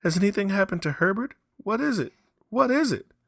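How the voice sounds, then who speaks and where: A man voicing an anxious old mother, pitched high. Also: expressive, fairly quick, vocal fry, fairly bright tone, dry recording, clean studio sound, heavily acted. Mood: breathless alarm. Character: anxious old wife and mother, soothing, quick to panic. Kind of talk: dramatic storybook reading.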